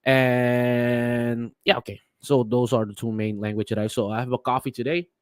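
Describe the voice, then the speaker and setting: A man whose first languages are Papiamento and Spanish, explaining crypto trades in a halting way with many restarts as a vlogger. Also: mid-range voice, little pitch movement, smooth, no room sound, clean studio sound. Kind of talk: casual screen-share talk.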